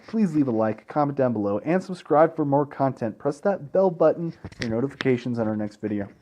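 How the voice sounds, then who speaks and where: Mellow young man, halting Let's Play host. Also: mid-range voice, muffled recording. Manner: halting, stumbles over words while reading. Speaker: gaming video host. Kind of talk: casual Let's Play narration and chat.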